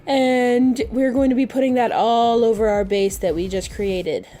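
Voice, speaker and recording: A woman's voice: a little high, fairly even intonation, a little slow, mellow, soft background music. A woman narrating a casual makeup tutorial in a playful, gushing, informal way.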